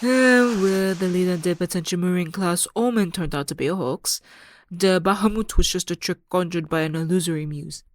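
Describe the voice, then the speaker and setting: A woman acting a courteous, gently teasing professor in an audio roleplay, speaking in a formal, composed way. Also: on the low side, moderate pace, no room sound, natural voice. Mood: polite, amused.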